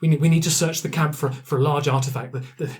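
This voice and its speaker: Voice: mid-range voice, steady tone, fast talker, lightly acted. A game master voicing a frightened female merfolk scout, stammering and pleading with breathless urgency. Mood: anxious urgency.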